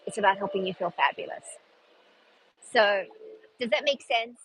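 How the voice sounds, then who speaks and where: A woman's voice: medium pitch, moderate pace, fairly bright tone, breathy edge, low-quality recording. A middle-aged woman with a South African accent, a stylist and designer chatting casually and upbeat with viewers on a live shopping stream. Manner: chatty, upbeat, frequent laughs and restarts.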